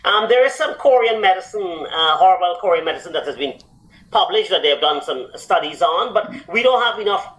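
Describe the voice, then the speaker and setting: High-voiced man explaining medical advice. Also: expressive, a little fast, fairly bright tone, low-quality recording. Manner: explanatory, many fillers and restarts. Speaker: doctor answering health questions.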